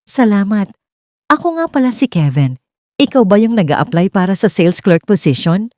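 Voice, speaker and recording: Woman acting a flirty male supervisor, easygoing, teasing, lively swooping intonation, romance audio story. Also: medium pitch, moderate pace, soft background music, voice pushed up, lightly acted. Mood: friendly, teasing. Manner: breezy, teasing.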